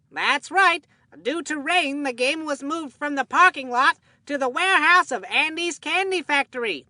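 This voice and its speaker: A woman voicing an excitable, food-loving boy baseball commentator in a cartoon game, with a folksy, earnest showman delivery. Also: sits high, no room sound, exaggerated voice. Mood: excited announcing.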